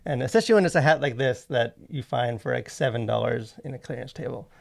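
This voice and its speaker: A man's voice: medium pitch, quick pace, gravelly. A man co-hosting a casual podcast, talking in a wry, jokey way with rambling asides. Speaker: podcast co-host. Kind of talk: casual conversational podcast commentary.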